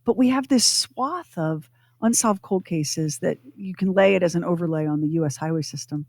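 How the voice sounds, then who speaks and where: A woman co-hosting a radio show, talking casually and explaining things in emphatic, deliberate phrases. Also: on the low side, darkish tone, no echo. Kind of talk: casual conversational podcast talk.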